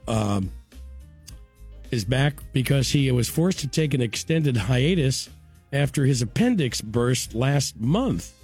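A man's voice: medium pitch, a little mellow, slightly rough. A man hosting a morning radio show, reading news items and chatting, his voice still recovering from being sick. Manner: brisk news read with fillers in chat. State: voice recovering after illness.

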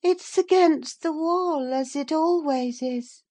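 A woman's voice: high-pitched, slow pace, warm tone, voice pushed up. A woman voicing a delirious, frightened young married woman in an audiobook of a classic novel, fretful, pleading and prone to gasping exclamations. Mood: feverish, wandering.

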